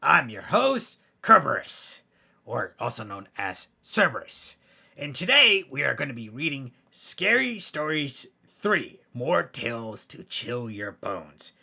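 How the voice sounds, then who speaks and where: A man voicing Cerberus, a gruff, grumbling hellhound who hosts bedtime stories, with a gravelly, raspy voice. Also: mid-range voice, sing-song, slow, pushed and bright, exaggerated voice. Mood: storytelling. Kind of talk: scripted skit banter and horror storytelling.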